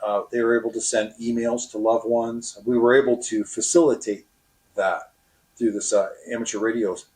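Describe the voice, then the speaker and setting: A man explaining his experience as a radio operator in an interview, with halting, deliberate phrasing. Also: medium pitch, little pitch movement, darkish tone, rough recording.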